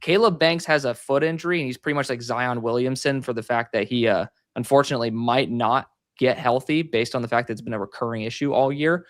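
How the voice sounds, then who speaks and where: A young man talking casually as a guest on a live show, relaxed and thinking aloud with fillers and easy laughs. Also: mid-range voice, quick pace, few pauses, dry recording, clean studio sound. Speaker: guest mock draft analyst.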